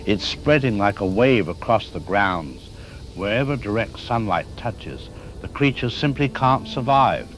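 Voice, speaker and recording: A man's voice: fairly low pitch, animated, a little slow, low-quality recording. An actor playing an awestruck townsman calling a radio show as an eyewitness, speaking in dramatic description. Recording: clear background noise.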